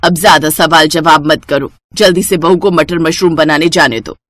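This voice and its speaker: Lady doing a pushy older saas in a cartoon story, impatient, orders everyone around, lowish voice. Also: normal speed, fairly bright tone, dry recording, clean studio sound. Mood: bossy. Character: bossy, food-craving older mother-in-law in family cartoon.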